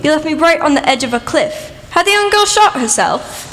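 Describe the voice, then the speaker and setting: A woman playing an eager, excitable hostess in a radio play, gushing as she speaks. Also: high-pitched, normal speed, a little hiss or hum. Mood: eager, curious. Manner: animated, gushing. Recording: reverberant hall, clear music.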